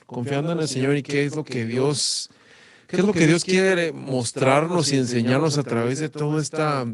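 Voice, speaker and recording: A middle-aged man with a Guatemalan accent, speaking calmly and earnestly as an interview guest from his home studio. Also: medium pitch, dry recording. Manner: calm, earnest, devout. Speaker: guest, music producer. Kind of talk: casual interview chat.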